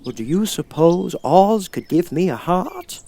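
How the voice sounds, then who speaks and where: A man's voice: sits high, big pitch swings, measured pace, vocal fry, dark tone, slight background noise, voice pushed up, full character voice. A man voicing a polite, grateful man made of tin, speaking in a courteous, plaintive way.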